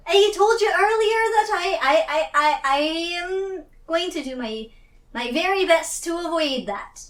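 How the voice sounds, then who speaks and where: Female player, extremely high voice, slow and hesitant, roomy distant sound. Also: lively intonation. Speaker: tabletop game player. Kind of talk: casual role-play at a game table. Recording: roomy echo, distant mic.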